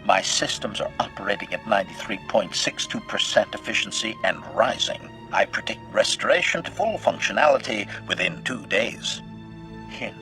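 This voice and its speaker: Game role: lethal assassin droid, devoted servant. Low, robot-filtered, precise, formal, deadpan menace over music. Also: male voice, moderate pace, poor audio. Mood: coldly pleased. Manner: formal, clipped, announces each statement with a label. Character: cold, calculating male assassin droid, gleefully bloodthirsty, loyal servant. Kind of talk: scripted video game acting. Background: background music.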